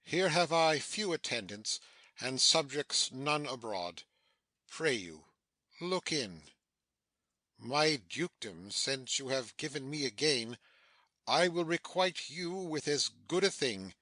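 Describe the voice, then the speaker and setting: A commanding older nobleman acted by a man with a sharp, forceful voice. He speaks slowly and with measured dignity, as in a Shakespeare audiobook, in a very dry recording. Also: mid-range voice, lively intonation, no echo. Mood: stately, commanding. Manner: stately, deliberate. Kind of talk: dramatic Shakespeare audiobook reading.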